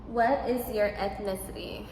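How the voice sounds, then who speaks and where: A woman's voice: mid-range voice, fairly even intonation, measured pace. Young woman, casual vlogger, sassy and emphatic; roomy echoey recording. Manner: sassy, emphatic, talks straight to viewers. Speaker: vlogger giving advice. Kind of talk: casual Q&A vlog talk. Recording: echoey room with noise.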